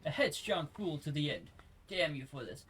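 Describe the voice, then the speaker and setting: A man's voice: on the high side, expressive, normal speed, a bit bright. A man voicing a haughty, ruthless villain, reading game dialogue aloud dramatically. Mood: angry, commanding. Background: game music.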